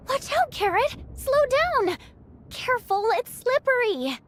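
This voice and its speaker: A woman playing a gentle, poetic, helpful young woman in an anime-style game. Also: very high voice, very animated, slow pace, heavily acted. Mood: alarmed.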